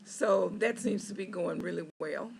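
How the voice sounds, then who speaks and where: A hoarse patient speaks from a hospital bed, the voice raspy and heavy with gravelly fry after neck surgery. The talk comes very slowly, halting and full of filler pauses, as a casual video diary update. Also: mid-range voice, a little hiss or hum, rough recording.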